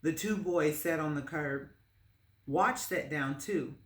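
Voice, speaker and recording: A woman reading a children's story aloud as narrator, in a measured, expressive storytelling manner. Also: deep voice. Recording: roomy, echoing space.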